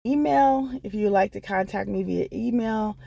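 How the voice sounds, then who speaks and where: Woman, guest storyteller, heavy vocal fry, mellow tone, informal announcement. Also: mid-range voice, normal speed, no room sound. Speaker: featured storyteller. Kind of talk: giving contact details.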